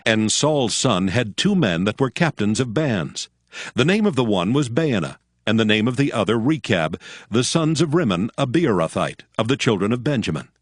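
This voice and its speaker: A man narrating a dramatized Bible in a measured, solemn storytelling style. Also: medium pitch, big pitch swings, no room sound, clean studio sound.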